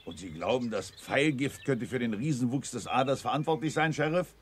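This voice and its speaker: A man's voice: mid-range voice, fairly quick, slight background noise, close to an everyday voice. A man playing a blunt, skeptical, impatient army general in a children's sci-fi radio play, with a brusque manner.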